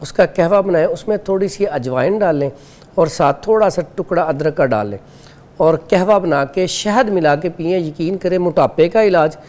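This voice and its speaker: A man with a Pakistani Urdu accent, a guest expert on a TV morning show, calmly explaining home remedies step by step. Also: high-pitched, moderate pace. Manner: calm, instructive, lists remedies step by step.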